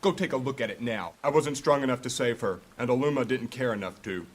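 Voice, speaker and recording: A man's voice: mid-range voice, fast, close to an everyday voice. Man acting a bitter, hot-tempered fantasy warrior. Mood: angry, bitter. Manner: scornful, argumentative. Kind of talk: dramatic fantasy audio drama acting.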